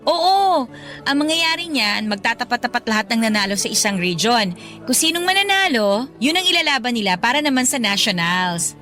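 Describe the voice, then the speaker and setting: Casting: an encouraging, tactful teacher, conversational and reassuring, fairly high voice, audio drama with soft music bed. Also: female voice, sing-song, moderate pace. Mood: chatty. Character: kind, encouraging female schoolteacher, tactfully curious. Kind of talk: radio drama dialogue.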